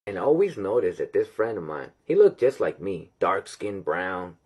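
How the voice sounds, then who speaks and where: A man's voice: deep voice, normal speed, husky. A man casually recounting personal anecdotes, acting out the dialogue as he goes. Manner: animated retelling, acting out quoted dialogue. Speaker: storyteller recounting personal experiences.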